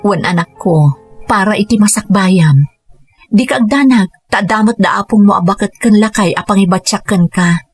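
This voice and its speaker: A woman's voice: mid-range voice, normal speed, slightly rough, no echo, faint music behind, lightly acted. A woman playing an earnest young mother. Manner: earnest, pleading. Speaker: radio drama actor. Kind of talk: Ilocano radio drama acting.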